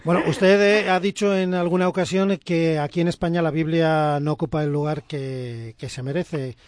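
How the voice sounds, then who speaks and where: A male radio interviewer with a slightly high voice puts formal, courteous questions to his guest. He is recorded close to the mic in a dry studio. His phrasing is measured and respectful. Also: fairly even intonation, darkish tone, no room sound.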